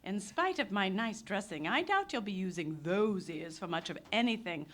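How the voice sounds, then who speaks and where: An actress playing a bossy, teasing, plucky young woman adventurer in a live radio play, brisk and theatrical. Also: mid-range voice, expressive, fairly bright tone, lightly acted. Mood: teasing, mocking.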